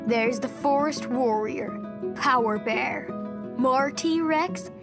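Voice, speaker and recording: A woman's voice: high-pitched, slow pace, over music. A woman voicing an earnest, imaginative young boy who plays at being a superhero, in a video game cutscene.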